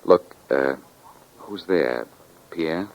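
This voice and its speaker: A detective hero in a vintage radio show. His voice is deep and swings widely in pitch. He is urgent, wry and slangy. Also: lively intonation, extremely slow, full character voice, low-quality recording. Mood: hushed, urgent. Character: quick-witted, urgent male amateur detective hero. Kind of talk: 1940s radio detective drama acting. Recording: vintage 1940s radio recording.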